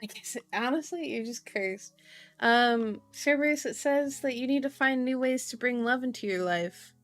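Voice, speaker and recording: A woman's voice: on the high side, moderate pace. A woman chatting casually and playfully in an ASMR style, giggly, with silly repeated words and sounds, reading fortunes for viewers. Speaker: streamer reading horoscopes and tarot for chat viewers. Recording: dry close recording over faint music.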